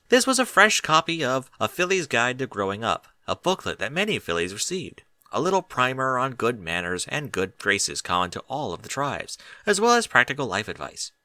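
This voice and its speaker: A man narrates a storybook tale in a bright, forward voice. His pitch moves up and down in a lively, expressive way, and the sound is close and dry. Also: no echo. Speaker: audiobook narrator reading fan fiction.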